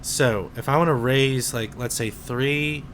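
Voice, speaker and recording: Slow-talking young man teaching code. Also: medium pitch, a little hiss or hum. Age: 20s. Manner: slow, relaxed explaining with fillers. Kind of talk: screen-recorded coding tutorial.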